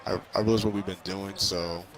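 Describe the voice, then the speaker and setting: A young man casually recapping a movie in an excitable, rambling, hesitant way. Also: low voice, fairly quick, rough recording. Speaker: vlogger reviewing a film. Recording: crowd chatter, bouncing basketball sounds behind.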